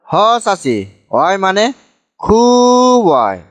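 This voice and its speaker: A man's voice: high-pitched, very animated, drawn-out words, heavily acted. A man voicing an exasperated younger village man humouring his aunt in a comic cartoon, mock-deferential, with a northern Bangladeshi Bogra accent. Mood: sarcastic agreement.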